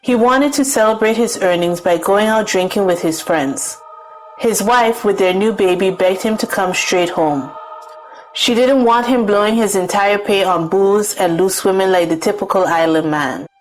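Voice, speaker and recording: A woman's voice: mid-range voice, normal speed, poor audio. Lady narrating a folklore audio drama, scripted storyteller style, bit of music behind. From Caribbean.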